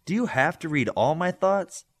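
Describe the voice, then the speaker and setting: A man's voice: fairly high pitch, expressive, moderate pace, no echo, pitched above the performer's own voice. Man voicing a curious, guarded teenage boy. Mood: questioning.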